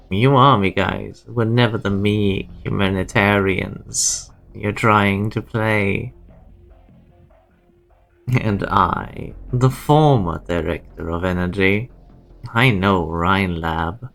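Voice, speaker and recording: A man playing a proud, calculating, disgraced research director, speaking deliberately and insistently. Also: fairly low pitch, vocal fry, a bit bright, exaggerated voice. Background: faint music.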